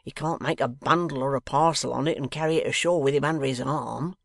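A man's voice: medium pitch, fast, fairly bright tone, no echo, studio quality. A man voicing a coarse, sly lock-keeper from a novel, growling with an insolent manner. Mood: mocking, confident. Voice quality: growling, gruff. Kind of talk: dramatised novel reading.

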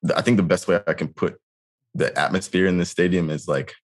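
A man with an exceptionally deep, low voice talks over a casual chat. He is in his thirties and halting, often restarting his sentences and leaning on fillers. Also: steady tone. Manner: hesitant, frequent restarts and fillers. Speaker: podcast co-host.

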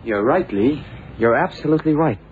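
Casting: male actor for eager, agreeable detective's junior, mid-pitched with swooping delivery, old radio play sound. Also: lively intonation, normal speed, soft background music, lightly acted. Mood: catching on. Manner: earnest, agreeable, hesitant. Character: eager male police sidekick, deferential, slower to catch on. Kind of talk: 1960s-70s radio drama acting. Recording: muffled, noisy old radio recording.

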